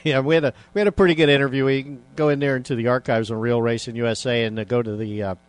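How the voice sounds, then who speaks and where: A man's voice: medium pitch, fairly even intonation, rapid-fire, smooth, no room sound. A man hosting a casual radio talk show, rambling with many fillers and restarts.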